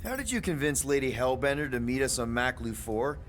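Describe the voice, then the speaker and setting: An actor playing a brash, wry, earnest young space crew leader in a video game cutscene. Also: male voice, medium pitch, animated, brisk, slight background noise, close to an everyday voice. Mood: puzzled.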